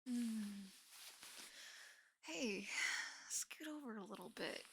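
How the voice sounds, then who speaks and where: A young woman playing a teasing, affectionate college best friend in an intimate roleplay audio, speaking softly and often slipping into whispers. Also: on the high side, animated, takes it slowly, creaky, slight background noise, heavily acted. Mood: teasing, sleepy. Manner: soft, often dropping into whispers, sighing. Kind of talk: intimate roleplay audio acting, casual and soft.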